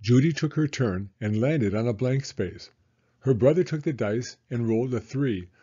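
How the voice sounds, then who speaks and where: A man reading a children's storybook as an expressive, dramatic narrator. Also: mid-range voice, normal speed, slightly rough, lo-fi sound.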